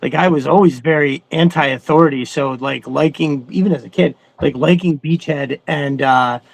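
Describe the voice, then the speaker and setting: A man's voice: on the high side, moderate pace, gravelly, low-quality recording. A man chatting casually as a podcast co-host, enthusiastic and rambling, with some swearing thrown in. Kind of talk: casual chat about cartoons.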